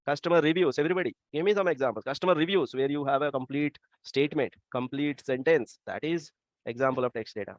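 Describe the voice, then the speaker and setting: Indian male teacher who talks fast and quizzes the class. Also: fairly high pitch, dry recording. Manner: quick, asks the class for answers. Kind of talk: classroom lecture. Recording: dry, dull lo-fi sound.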